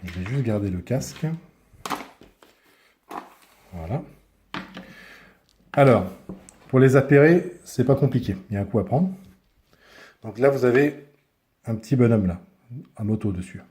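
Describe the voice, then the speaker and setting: A man's voice: mid-range voice, animated, measured pace, pauses often, dark tone, slight background noise. A man giving a casual hands-on product review, speaking informally and often searching for his words.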